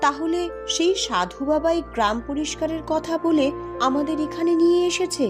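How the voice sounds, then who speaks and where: A woman voicing a bold, commanding young princess in a cartoon, firm and deliberate. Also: high voice, over music, close to an everyday voice. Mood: calm, explaining. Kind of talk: cartoon voice acting.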